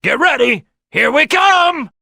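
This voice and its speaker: Big loud croc leader for a video game, gravelly and bright, bossy as anything. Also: male voice, very high voice, big pitch swings, slow pace, dry recording, clean studio sound, exaggerated voice. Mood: fired up. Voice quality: gruff, gravelly. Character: big brash male crocodile detective, gruff team leader. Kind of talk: video game character acting.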